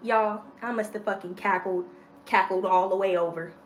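A woman's voice: mid-range voice, normal speed. A woman telling a story in a blunt, casual way, swearing and acting out the people she quotes. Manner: blunt, swears, animated quoting.

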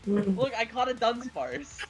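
A man's voice: extremely high pitch, sing-song, slow, music in the background. A man playing a tabletop game, chatting and improvising casually in a playful, slangy way.

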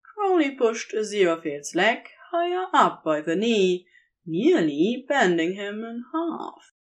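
A woman's voice: medium pitch, very animated, unhurried. A woman narrating scripted romantic fan fiction in an intimate storytelling style.

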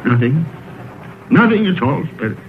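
A man's voice: a little high, sing-song, moderate pace, phone-quality sound, background noise. A man acting an old, emotional, remorseful miser in a theatrical old-time radio drama style, exclaiming and repeating his words. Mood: moved. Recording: old radio broadcast, thin band-limited, music behind.

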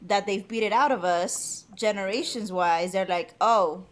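Podcast host: woman, native Spanish speaker, mid-pitched, loose and profane, quick to laugh. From Mexico. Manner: profane, laughs often, animated storytelling. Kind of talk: casual chat.

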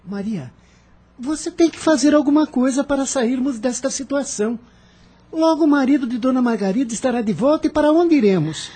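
Radio drama: resentful young man, very high-pitched, soft mellow tone, anxious and reproachful. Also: lively intonation, fairly quick, breathy edge, full character voice. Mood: anguished, urgent. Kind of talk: radio soap opera acting.

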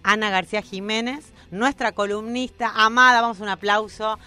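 A woman hosting a radio show in a studio, chatting casually and introducing guests in a lively way. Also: medium pitch, normal speed, soft background music. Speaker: radio host.

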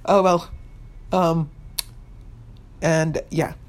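A woman's voice: low voice, steady tone, very slow. An eighteen-year-old woman hosting her own podcast in casual, rambling chat, full of fillers and restarts, earnestly insisting she means what she says. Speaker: podcast host and YouTuber.

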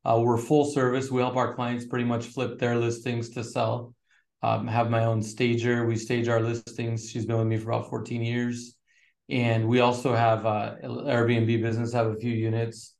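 A middle-aged man from Northern California, a real estate agent chatting casually as an interview guest with relaxed fillers. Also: mid-range voice, moderate pace, gravelly, echoey room. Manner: relaxed, fillers, flat steady delivery.

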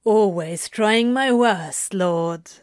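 A woman's voice: medium pitch, drawn-out words, clean tone, dry recording, clean studio sound. A woman voicing an insolent male demon with a hissing, contemptuous manner, in an audiobook-style story. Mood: insolent, sardonic. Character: insolent, sneering male demon with defiant swagger.

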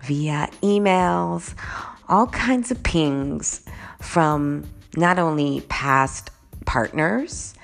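Solo podcast host: woman with a slightly groggy voice, low and gravelly, casual talk. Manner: warm, conversational, says "right?" and "y'all" a lot.

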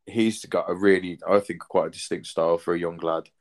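Brief: thirties male host, lowish voice, loose rambling chat, swears easily. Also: steady tone, fairly quick. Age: 30s. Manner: rambling, swears casually, many fillers. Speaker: podcast host. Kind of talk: casual chat.

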